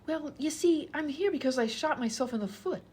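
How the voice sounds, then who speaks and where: A woman's voice: high-pitched, fairly quick, a little mellow, natural voice. A woman playing an exasperated, indignant hospital patient pleading her case in a comedy radio sketch.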